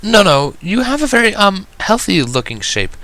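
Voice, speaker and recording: Genteel female pony dressmaker, performed by a man, refined and raised in pitch, bright, drawn-out emphasis. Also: big pitch swings, no echo, exaggerated voice, rough recording. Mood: flustered.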